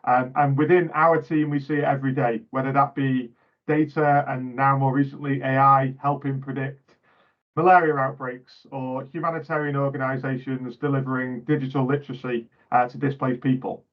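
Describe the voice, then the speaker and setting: A man's voice: medium pitch, level tone, moderate pace, highs cut off. A man presenting as a guest speaker in a webinar, upbeat and partly scripted, over a video call. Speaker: guest presenter from a tech company.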